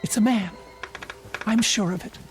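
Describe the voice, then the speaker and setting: A woman's voice: mid-range voice, moderate pace, soft background music, natural voice. An actress playing an anxious, insistent invalid woman in a suspense radio drama, speaking in an emphatic, theatrical and fretful way. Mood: uneasy, insistent.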